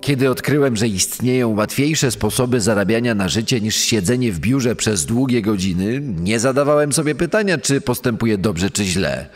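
Male actor as self-assured con man, swooping intonation, deliberate theatrical confession, true-crime drama, music underneath. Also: mid-range voice, expressive, close to an everyday voice. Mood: cold, self-assured. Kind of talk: dramatised first-person true-crime monologue. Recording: long reverb over background music.

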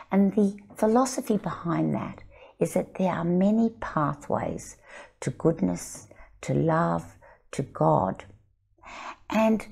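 An older woman reads her picture book aloud from an armchair, telling the story with dramatic, expressive flair. Her voice is a little low, husky and gravelly, with a breathy edge. She swoops widely in pitch and takes her time. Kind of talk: storybook reading with commentary. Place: indoor studio set, seated in armchair.

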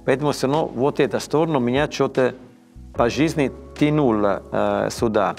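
A man in his fifties with an Italian accent, speaking Russian as a second language in a studio interview, halting and often restarting words. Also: medium pitch, creaky, darkish tone, soft background music. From Italy. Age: 50s. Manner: halting, frequent restarts and stammers, searching for words. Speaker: chef, interview guest. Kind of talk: casual interview conversation. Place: dark indoor studio set, seated in armchair.

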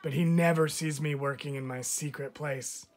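A man, a teacher, reads a picture book of letters aloud with expressive, measured phrasing. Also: fairly high pitch. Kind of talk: storybook read-aloud of letters.

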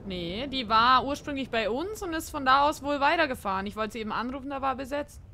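A woman's voice: high-pitched, lively intonation, brisk, vocal fry, pushed and bright. A woman livestreamer improvising role-play in a game, talking in a panicky way and repeating her phrases. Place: indoor streaming room.

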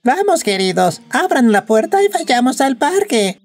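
A sly wolf in a children's cartoon plays sweet old grandmother , acted by a woman. The voice is fairly high and swings widely, with slow, coaxing, drawn-out phrases. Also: very animated, darkish tone, faint music behind, exaggerated voice. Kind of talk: children's animated fairy tale acting.

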